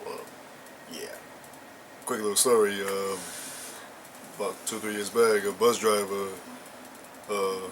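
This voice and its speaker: A man's voice: fairly low pitch, noisy background. A young man chatting casually and telling a rambling story, full of slang and fillers. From Puerto Rican and Ecuadorian family. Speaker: co-host.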